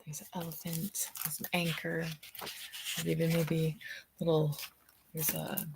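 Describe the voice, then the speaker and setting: A woman's voice: low voice, completely flat delivery, unhurried, gravelly, background noise. A woman reading a picture book aloud as a teacher-storyteller, stretching out words and pointing out details to young listeners.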